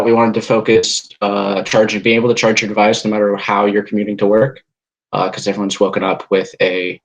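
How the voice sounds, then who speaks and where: A man's voice: on the low side, rough recording. A young man from Oregon, a student answering interview questions in a casual, relatable way. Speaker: student team member.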